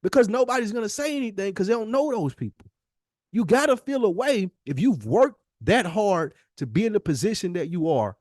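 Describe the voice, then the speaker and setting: Man, high-pitched, swooping intonation, podcast co-host, blunt, challenging, slangy, rhetorical questions, casual debate. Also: darkish tone, no echo, clean studio sound.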